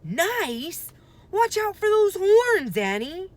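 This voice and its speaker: A woman's voice: very high-pitched, big pitch swings, takes it slowly, pitched above the performer's own voice, exaggerated voice. A woman voicing an anxious young boy in a storybook, urgently calling out warnings to his sister. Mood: urgent, alarmed. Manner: urgent exclamations, shouting and warning.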